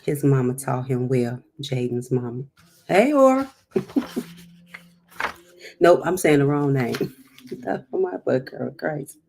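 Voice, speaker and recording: A woman's voice: extremely low pitch, animated, slow pace, gravelly, long pauses, darkish tone, slight background noise, poor audio. A woman hosting a storytime, reading a picture book aloud with chatty, encouraging, emphatic asides.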